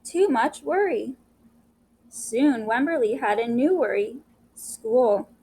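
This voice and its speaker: Woman, fairly high voice, picture-book storyteller for kids, recorded with some background noise. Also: takes it slowly. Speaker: educator reading a picture book aloud. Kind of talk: read-aloud storytelling with commentary for children. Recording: clear steady background noise.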